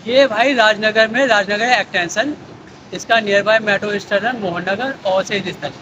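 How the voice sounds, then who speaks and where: A man's voice: sits high, normal speed, dull audio. A man in his thirties, a ride operator, plainly answering interview questions outdoors at night. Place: outdoor mall walkway at night. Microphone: handheld foam mic. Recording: noisy outdoor audio.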